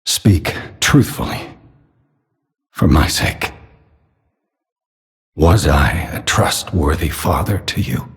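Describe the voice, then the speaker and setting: An actor playing a grave, suspicious elderly father in a video game, husky and measured, speaking solemnly with paternal concern. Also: sits low, heavily acted. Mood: sorrowful, pleading. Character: grave, suspicious elderly father, doting yet quietly manipulative. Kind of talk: scripted video game character dialogue. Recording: long cavernous reverb.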